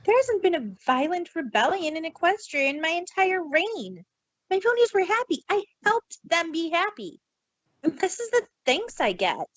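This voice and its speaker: A woman voicing a young, earnest pony princess, hurt and fretful, pleading haltingly in a storybook reading. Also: extremely high pitch, big pitch swings, fairly bright tone, dry recording, highs cut off, voice pushed up, heavily acted. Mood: indignant.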